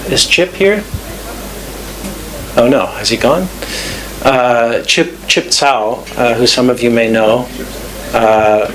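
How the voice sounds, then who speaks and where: A man giving thoughtful, measured answers at a talk's question session, pausing with fillers and restarts. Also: mid-range voice, slight background noise, rough recording. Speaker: guest speaker. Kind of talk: lecture Q&A answers. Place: club event room.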